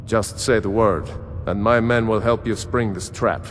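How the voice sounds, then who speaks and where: A man's voice: on the low side, steady tone, a little slow, natural voice. An actor playing a steadfast nobleman ruler in a fantasy game, grave and resolute. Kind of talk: fantasy video game voice acting. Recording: hall reverb, faint background noise.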